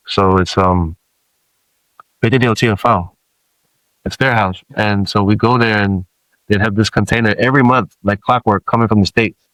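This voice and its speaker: A man in his forties who grew up in Samoa speaks in a lowish voice, unhurried and full of fillers as he tells stories about growing up. The sound is close and dry, with no room around it. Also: no room sound, low-quality recording. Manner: relaxed storytelling with many fillers. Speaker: rapper guest. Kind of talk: casual interview talk.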